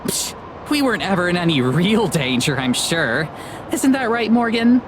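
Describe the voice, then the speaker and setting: A woman's voice: lowish voice, sing-song, moderate pace, noisy background. A woman voicing a brash, flippant young female vlogger in a visual novel game. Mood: flippant teasing.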